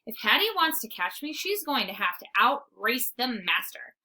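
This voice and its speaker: A woman voicing an excitable, cocky little male dog in a storybook, with dramatic, eager exclamations. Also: a little high, normal speed, exaggerated voice. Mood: eager, playful. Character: excitable small male dog, cocky squirrel-hating playful hero. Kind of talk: storybook read-aloud, first-person narration.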